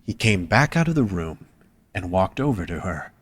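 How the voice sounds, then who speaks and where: Casting: male story narrator, lower register, dry recording, reading scripted prose. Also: sing-song, moderate pace, husky, dry recording.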